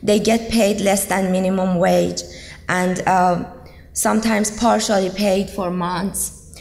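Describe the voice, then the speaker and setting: Slow, gravelly-voiced young woman from Iran. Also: medium pitch, fairly even intonation, darkish tone. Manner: earnest, hesitant, many ums. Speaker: student speaker. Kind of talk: personal testimony speech. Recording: echoey hall, long reverb.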